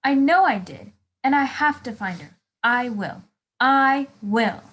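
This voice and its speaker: A woman's voice: sits high, lively intonation, takes it slowly, fairly bright tone, voice pushed up, heavily acted. A woman voicing a lost, anxious but determined baby bird in a storybook, earnest and pleading. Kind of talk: storybook read-aloud.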